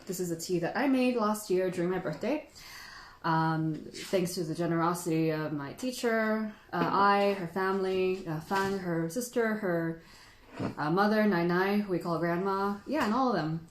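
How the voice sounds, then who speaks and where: A woman's voice: lowish voice, normal speed, reverberant, a little hiss or hum, low-quality recording. A woman hosting a casual livestream, chatting in a sentimental, giggly, informal way. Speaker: livestream host and tea teacher.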